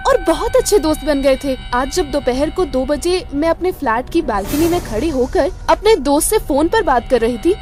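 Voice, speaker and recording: Woman acting very high-voiced anxious young witness. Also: animated, moderate pace, few pauses. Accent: Indian. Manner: anxious, hesitant, repeating words. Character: frightened young female witness, a call centre worker. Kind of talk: radio drama acting. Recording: music under the voice.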